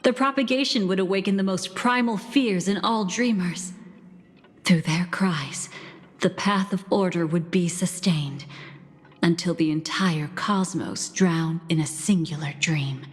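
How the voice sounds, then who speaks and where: An actress voicing a calm, enigmatic female storyteller in a video game, narrating in a composed, deliberate, solemn way. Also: mid-range voice, lightly acted. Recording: reverberant, music underneath.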